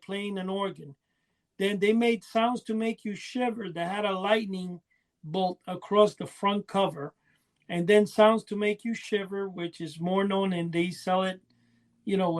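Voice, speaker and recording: A man hosting a casual podcast chat, telling rambling, enthusiastic stories. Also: high-pitched. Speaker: podcast host.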